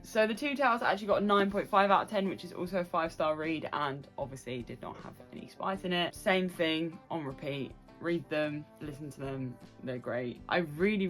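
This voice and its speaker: Low-pitched woman chatting enthusiastically about books. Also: a bit bright. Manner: gushing, emphatic, repeats phrases for emphasis. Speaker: book review vlogger. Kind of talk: casual chatty book review to camera.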